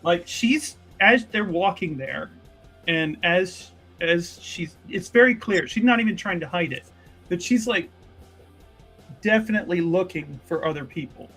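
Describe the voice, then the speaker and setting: Male roleplay player, highish voice, unhurried, halting improvised narration, casual, soft background music. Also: animated, slightly rough. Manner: casual, halting, self-correcting. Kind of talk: improvised game narration in the third person.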